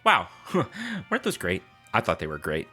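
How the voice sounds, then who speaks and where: A man's voice: fairly low pitch, huge pitch swings, normal speed, sharp tone, breathy edge, faint music behind. A man hosting a podcast in casual, rambling solo chat with playful, self-mocking asides. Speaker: podcast host.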